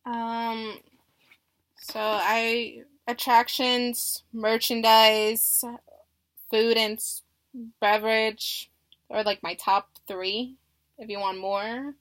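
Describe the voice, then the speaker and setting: A young woman job applicant answering phone interview questions in a nervous, halting and hesitant manner. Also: on the high side, flat delivery, a bit bright. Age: young adult.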